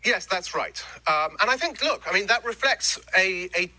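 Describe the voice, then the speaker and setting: A man answering questions in a news interview, explaining policy in measured detail with frequent "uh" fillers. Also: high-pitched, lively intonation, pushed and bright. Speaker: interview guest, former government official.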